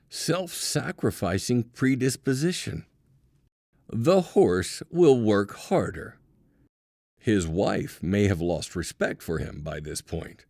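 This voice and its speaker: Low, expressive male audiobook narrator. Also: no room sound, studio quality. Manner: deliberate, dramatic pauses and emphasis.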